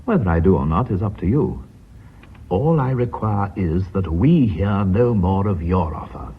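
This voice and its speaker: An actor playing an authoritative, coolly confident British company chairman in a radio drama, speaking in a measured, formal, drily ironic way. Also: low-pitched, lively intonation, husky, heavily acted. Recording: muffled vintage radio drama recording.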